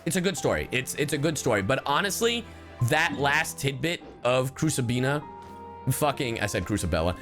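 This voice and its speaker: A male streamer talks casually over faint game music, his pitch leaping around a middle register. He rambles and swears freely as he comments on the game. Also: sing-song, normal speed, a bit bright. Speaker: livestreamer.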